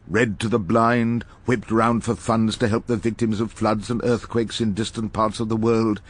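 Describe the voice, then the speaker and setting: An actor playing an irascible, witty older English barrister who narrates his case in a radio drama, wry and measured. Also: lowish voice, husky. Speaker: barrister and first-person narrator.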